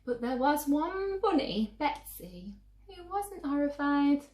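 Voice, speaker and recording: A woman reading a picture book aloud to children with theatrical, drawn-out emphasis. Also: sits high, big pitch swings, darkish tone, reverberant. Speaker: children's story reader.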